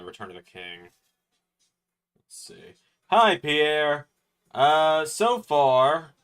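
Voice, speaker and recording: A man's voice: fairly low pitch, a bit bright, clear, resonant tone. A man hosting a live reaction stream, chatting casually and thinking aloud with fillers. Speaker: stream host.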